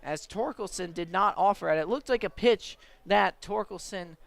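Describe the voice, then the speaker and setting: High-pitched man calling baseball play-by-play. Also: moderate pace, creaky, fairly bright tone, no echo. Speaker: play-by-play announcer. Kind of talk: live radio baseball play-by-play. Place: at a baseball game.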